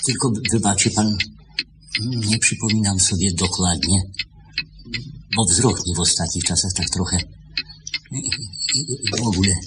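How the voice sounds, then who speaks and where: Over loud noise and ticking clocks, a courteous old man in a radio play. His low voice moves slowly and hesitantly, forgetful and repetitive. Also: fairly even intonation. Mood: polite, confused. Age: older. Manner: hesitant, mumbling repetitions, polite. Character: frail, courteous old shopkeeper, forgetful, failing eyesight. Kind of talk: Polish radio drama acting. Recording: noisy radio drama, ticking clocks behind.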